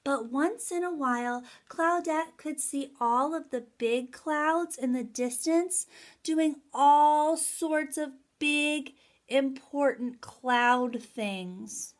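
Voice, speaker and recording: A woman teaching young children in a story time, with a sing-song, animated way of talking straight to them. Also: high-pitched, slow pace. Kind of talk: children's story time lesson with songs and read-aloud.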